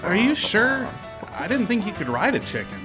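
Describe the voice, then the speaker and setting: A woman's voice: medium pitch, sing-song, moderate pace, lightly acted. Woman acting a wildly swooping greenhorn rider. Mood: nervous doubt. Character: doubtful, excitable greenhorn rider trying a comic mount. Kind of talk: comic old-time radio acting. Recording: live outdoor show under loud music.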